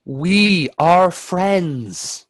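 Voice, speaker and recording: Casting: male actor as cautious Edwardian time traveller, earnest, slightly high animated voice, close dry sound, radio drama. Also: drawn-out words, dry recording, exaggerated voice. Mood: hushed, gentle. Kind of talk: radio play acting and narration.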